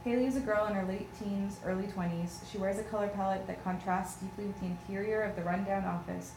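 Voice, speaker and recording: A woman's voice: mid-range voice, fairly even intonation, fast talker. A woman reading scripted stage directions as narrator at a table read. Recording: echoey room with clear noise.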